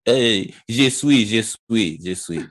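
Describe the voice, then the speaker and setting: A man's voice: on the low side, lively intonation, slow. A man with a British accent, from Ghana, co-hosting a podcast in a joking, slangy way. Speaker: podcast co-host. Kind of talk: casual banter.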